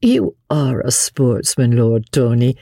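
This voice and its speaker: A playful English gentlewoman of a storybook, as a narrator puts her on. She is merry and teasing, with laughter close to the surface. The pitch moves around freely over a slightly husky tone. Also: expressive, slow pace, dry recording, heavily acted.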